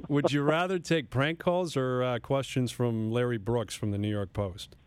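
A man's voice: medium pitch, a little slow, clean tone, no room sound. A man hosting sports radio, asking questions in a conversational broadcast style. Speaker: sports radio host, interviewer. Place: radio studio.

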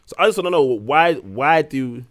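A man's voice: medium pitch, expressive, moderate pace, dry recording, studio quality. A man co-hosting a podcast in casual banter with friends, speaking, teasing and laughing often. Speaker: podcast co-host.

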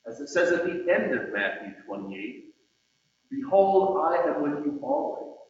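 A man delivering a sermon in measured, emphatic phrases with deliberate pauses, reading out scripture and quotations as he goes. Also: fairly high pitch, wildly swooping pitch. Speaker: preacher. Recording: muffled, very echoey hall with long reverb.

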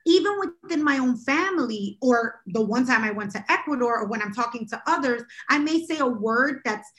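A woman's voice: on the high side, expressive, normal speed, fairly bright tone. A woman co-hosting a casual podcast chat, talking in an emphatic, opinionated way. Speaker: podcast co-host.

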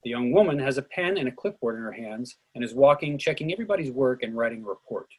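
A man narrates a play script, reading out stage directions and cast notes. His voice is mid-pitched with a husky grain. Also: animated, fast, a little mellow, poor audio.

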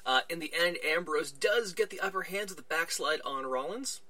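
Male podcast host, very bright tone, dry close mic, casual recap chat. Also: fairly high pitch, normal speed, no room sound. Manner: chatty, retelling events with little quoted voices.

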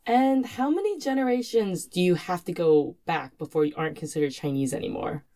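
An American woman guest from New Jersey, talking in an animated, chatty way. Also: lowish voice, a little fast.

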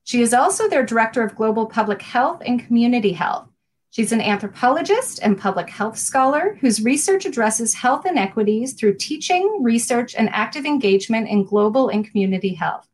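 A woman's voice: on the high side, lively intonation, brisk. A woman hosting an interview podcast, introducing her guest formally and then chatting with her in a friendly way. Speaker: podcast host.

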